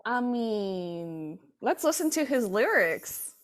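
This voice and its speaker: High, bouncy-voiced woman, unhurried. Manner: excitable, gasping and laughing. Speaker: co-host of a reaction channel. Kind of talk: casual chat reacting to music.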